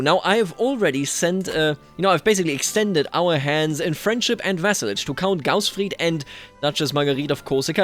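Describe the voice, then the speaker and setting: A man hosting a video game roleplay series, giving casual commentary and thinking aloud conversationally as he plays. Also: on the high side. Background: background music.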